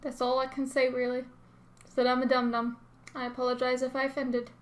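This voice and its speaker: A woman's voice: sits high, monotone, a little fast. An Australian woman chatting casually to her viewers as a reaction host, informal, rambling and self-deprecating as she reads and answers comments.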